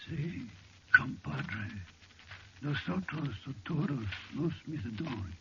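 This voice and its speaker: An ancient, shrivelled conquistador mummy, dry as dust and eerie. The words come slowly with wide swoops of pitch, in vintage radio horror style on a dull, slightly noisy recording. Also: male voice, expressive, fairly bright tone. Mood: eerie welcome. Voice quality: dry, dusty. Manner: slow, eerie greeting. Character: ancient desiccated living mummy of a male conquistador. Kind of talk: 1940s radio horror acting.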